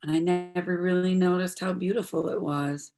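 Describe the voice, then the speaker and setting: An actress playing a troubled, searching young adult daughter in an earnest stage drama. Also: female voice, fairly low pitch, fairly even intonation, normal speed, mellow, soft background music, natural voice. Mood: soft wonder.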